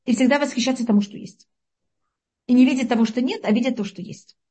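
A woman from Uzbekistan teaching a religious lesson, explaining slowly, searching for words and asking her listeners questions. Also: sits high, dark tone, slightly rough.

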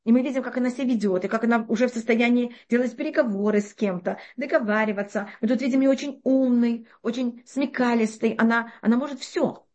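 A middle-aged woman teaching a religious text class, explaining with lively rhetorical questions and frequent restarts. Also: fairly high pitch, fast talker, few pauses. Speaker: Torah teacher.

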